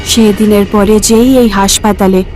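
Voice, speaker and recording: A woman's voice: medium pitch, fairly even intonation, unhurried, a little mellow, a little hiss or hum, rough recording. A woman giving scripted narration for a cartoon ghost story. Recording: music behind the voice.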